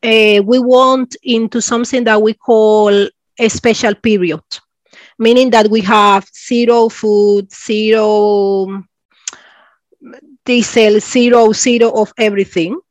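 A woman in her forties from Cuba, speaking English with a Cuban Spanish accent as an interview guest, often pausing for words and laughing. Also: on the high side, fairly even intonation, no echo. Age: 40s. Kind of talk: interview conversation.

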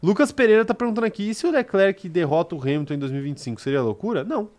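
A man's voice: sits high, rapid-fire. A young man from the interior of São Paulo with its accent, co-hosting a casual live stream, reading chat comments and joking with slang. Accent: Brazilian Portuguese, São Paulo interior. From interior of São Paulo state, Brazil. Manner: animated, bursts into laughter, slangy.

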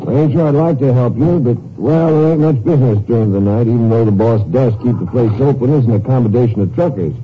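An actor playing an easygoing, obliging rural gas station attendant in a 1950s radio drama, with a folksy, laconic way of talking. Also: male voice, medium pitch, quick pace, few pauses, close to an everyday voice. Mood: obliging, unhurried. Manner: folksy, laconic yeps and nopes. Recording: dull, noisy old radio recording.

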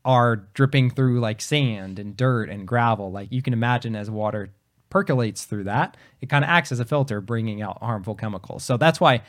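A man co-hosting a podcast in casual chat, explaining things step by step, his voice just recovering after he lost it. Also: on the low side. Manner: explanatory, many fillers and restarts. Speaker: podcast co-host.

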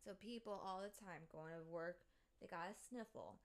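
A woman's voice: lowish voice, moderate pace. Exasperated swearing young woman ranting. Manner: exasperated, profane, sarcastic. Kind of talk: casual ranting monologue.

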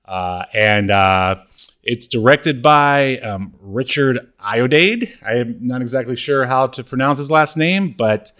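A man's voice: medium pitch, lively intonation, a little slow, fairly bright tone. A man co-hosting a podcast, chatting casually about cult media and often searching for his words. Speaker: podcast co-host.

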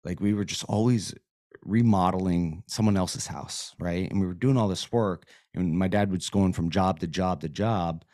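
Hoarse male guest from Florida, very low voice; relaxed, rambling studio interview talk. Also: normal speed, no room sound. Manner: easygoing, rambling, says "man" often. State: losing his voice. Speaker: podcast guest. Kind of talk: casual interview chat. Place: podcast studio.

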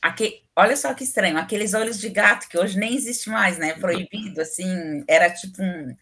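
A woman's voice: mid-range voice, normal speed, fairly bright tone. A middle-aged woman from southern Brazil with a southern Brazilian accent, a civil engineering professor speaking as a guest in a casual interview. She is chatty and opinionated, and her talk is full of fillers. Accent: southern Brazilian Portuguese.

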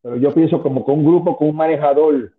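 A man's voice: on the high side, fairly even intonation, fast. Man in his fifties on a tinny phone line. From Spanish-speaking, moved to the US at ten. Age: 50s. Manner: rambling, many restarts and "tú sabes". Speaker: guest, former wrestling manager. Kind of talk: casual interview on a call.

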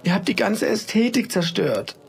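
A man's voice: mid-range voice, expressive, fairly quick, a little hiss or hum, natural voice. A man voicing a fussy, flustered mother in a read-aloud Christmas story. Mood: exasperated. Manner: exasperated, stammering.

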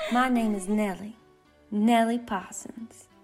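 Slow, coy saloon girl. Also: fairly high pitch, over music.

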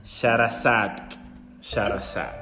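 A man's voice: lowish voice, steady tone, slow pace, pushed and bright. A man hosting a live casual interview, chatty, effusive and joking with his guest. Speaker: host. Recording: roomy sound with guitar music behind. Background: guitar music.

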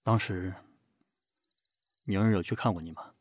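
Man acting a husky, domineering boss. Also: low voice, fairly even intonation, measured pace, a little mellow, dry recording. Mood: probing, subdued. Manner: curt, sardonic. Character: domineering, jealous male company boss, cold and possessive. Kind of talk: amateur Chinese audio drama acting.

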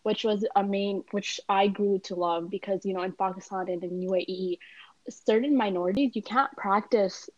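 A woman's voice: mid-range voice, steady tone, normal speed, darkish tone, dry recording. Young female student in casual chat, filler-heavy, recorded dull and dry. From Canada. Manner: chatty, frequent "like" and "you know" fillers. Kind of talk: casual conversation.

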